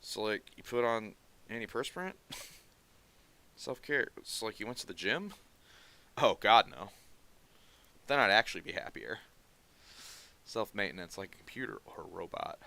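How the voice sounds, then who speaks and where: A man's voice: lowish voice, animated, vocal fry, sharp tone. Nasal, sarcastic-sounding man chatting. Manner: rambling, quick asides and mutters while working. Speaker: streaming artist. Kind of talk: casual chat while drawing on stream.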